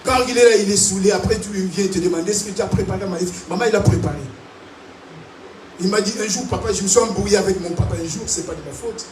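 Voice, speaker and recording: A man from Africa preaching a sermon to a congregation, animated and calling out to them. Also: high voice, quick pace, a crowd in the background. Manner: animated preaching with call-and-response. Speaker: pastor. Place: large church hall. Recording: echoey hall, distant mic, noisy.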